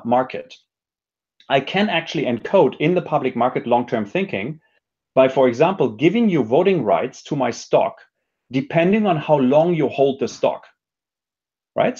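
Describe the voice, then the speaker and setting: A man's voice: a little high, a little mellow, husky, muffled recording. A man speaking as an interview guest, explaining ideas conversationally and often checking in with "right?". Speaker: podcast guest, entrepreneurship expert.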